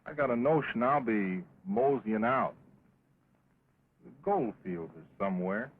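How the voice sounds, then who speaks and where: An actor playing a carefree, stubborn American cattle rustler in an early talkie western, with a folksy offhand drawl. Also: male voice, mid-range voice, expressive, unhurried, natural voice. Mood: restless, shrugging. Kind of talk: early 1929 talkie western film acting. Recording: dull, noisy 1920s film soundtrack.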